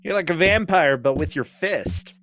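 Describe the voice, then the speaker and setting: Male co-commentator, animated and joking, mid-pitched, relaxed game chat over audible music. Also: moderate pace. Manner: playful, joking.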